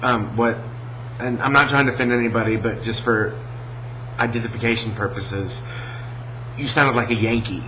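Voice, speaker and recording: A man from Alabama with a Southern American accent, talking casually in a video response, hesitant with many pauses and restarts. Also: mid-range voice, fairly even intonation, background noise. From Alabama, USA. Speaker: vlogger.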